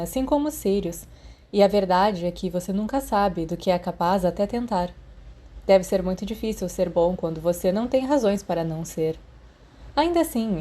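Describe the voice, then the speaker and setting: A woman with a Brazilian Portuguese accent narrates a work of fiction. Her voice is dark and mellow, set at a middle pitch for a woman. She reads from a script in the manner of an audiobook. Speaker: podfic narrator.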